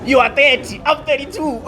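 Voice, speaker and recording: A man's voice: extremely high pitch, steady tone, moderate pace. A young South African man with a South African accent, co-hosting a podcast in casual, teasing banter that slips between languages. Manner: playful, teasing, laughs often, mixes languages. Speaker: podcast co-host.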